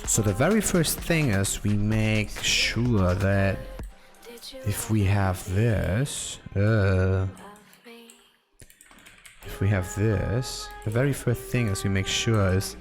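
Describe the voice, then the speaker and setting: Man, live coding stream, low voice, very slow, mutters through code, halting. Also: music in the background. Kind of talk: thinking aloud while coding.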